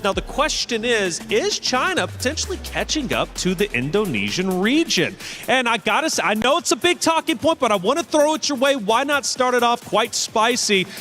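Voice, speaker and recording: Animated high-voiced male esports caster. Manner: lively, upbeat, drawn-out emphasis. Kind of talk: energetic play-by-play hype.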